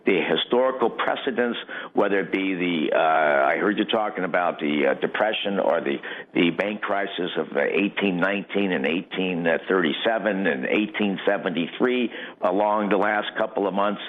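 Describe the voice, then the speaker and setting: A man's voice: low-pitched, normal speed, few pauses. An American man giving an emphatic, rambling political interview as a guest candidate, often repeating phrases for stress. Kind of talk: political interview, impassioned off-the-cuff argument. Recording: tinny, narrow phone-like line.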